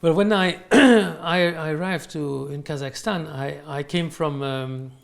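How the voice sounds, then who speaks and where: A man with a French accent answering interview questions in halting, unscripted non-native English. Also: fairly high pitch, creaky. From France. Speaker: interview guest, real estate company owner. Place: in his apartment.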